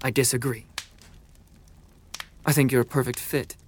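Male actor as stern elder brother, cold ruler, commanding, dismissive, medium pitch, fantasy audio drama. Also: steady tone, normal speed, slight background noise, lightly acted.

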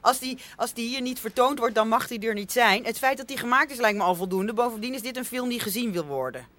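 A woman's voice: a little high, quick pace, a bit bright, lightly acted. A woman playing an earnest, pretentious arthouse film critic in a radio comedy, lofty and emphatic, savouring her words. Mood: indignant.

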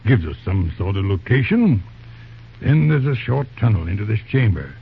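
A man playing a patient, shrewd older uncle, a captive judge reasoning calmly in an old-time radio serial. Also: on the low side, normal speed, gravelly, breathy edge, full character voice. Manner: patient, reasoning, chuckling old-fashioned turns of phrase. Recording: muffled, tinny old radio recording.

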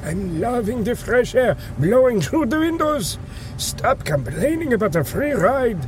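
An actor playing a grumbling, joke-loving old priest in an audio sitcom, teasing and sardonic. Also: male voice, very high voice, big pitch swings, a little slow, a little hiss or hum, heavily acted. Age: older. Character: teasing, grumbling old male priest who loves his own jokes.

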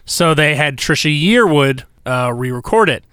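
A man co-hosting a casual movie podcast who shares trivia in a brisk, explanatory way. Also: on the high side, expressive, a bit bright, clear, resonant tone, no room sound, clean studio sound. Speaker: podcast co-host. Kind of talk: casual movie review chat.

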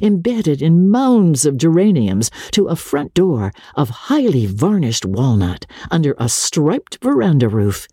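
A woman reading classic literature as a dramatic audiobook narrator, in a measured, expressive storytelling manner. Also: low-pitched, slightly rough, no room sound, studio quality.

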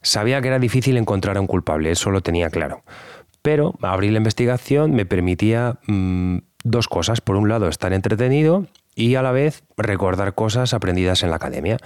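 A man's voice: on the low side, lively intonation, a little fast, clear, resonant tone, no room sound, studio quality, natural voice. A man playing a civil guard who recounts an obsessive cold case conversationally and reflectively, as in a documentary interview. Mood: candid, musing. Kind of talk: documentary-style interview testimony.

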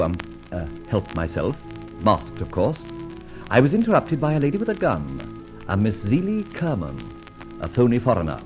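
An urbane gentleman adventurer in an old radio serial. His low voice moves animatedly up and down with wry self-assurance, on a dull old recording with faint music behind. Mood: wry storytelling. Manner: urbane, wry, clipped commands. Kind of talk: vintage radio drama acting.

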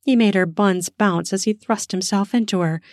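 A woman narrating an erotic story in an unhurried, intimate reading. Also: medium pitch, darkish tone, dry recording, studio quality.

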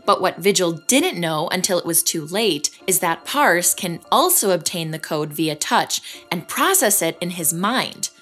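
Lively woman narrating a drama. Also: fairly low pitch. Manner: measured storytelling. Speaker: narrator and host. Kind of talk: scripted narration.

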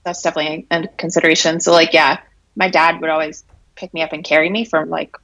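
Raspy, hoarse low-voiced woman. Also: flat delivery, fast, fairly bright tone, dry recording, rough recording. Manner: frank, reflective, many fillers and laughs. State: hoarse from allergies. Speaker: interview guest. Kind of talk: candid casual conversation.

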